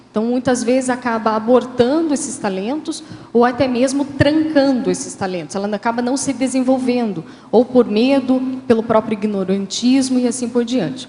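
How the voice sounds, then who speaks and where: Female guest, mellow and fairly high voice, hesitant explainer, hall reverb. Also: slight background noise. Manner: hesitant, many fillers. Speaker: guest author. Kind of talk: interview answers, explanatory.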